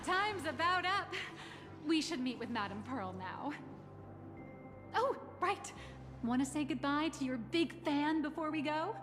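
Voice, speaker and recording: A woman's voice: sits high, expressive, unhurried. A woman playing a perky, breezy young member of a hero squad in a video game. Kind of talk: animated game voice acting. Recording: long reverb, clear music behind.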